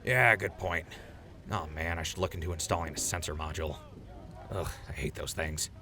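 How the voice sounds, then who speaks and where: Man playing a low-voiced, affable radio host. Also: normal speed, crowd noise, close to an everyday voice. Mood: mildly exasperated. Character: affable, easygoing male wasteland radio host. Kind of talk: post-apocalyptic radio drama acting. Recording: music behind the voice.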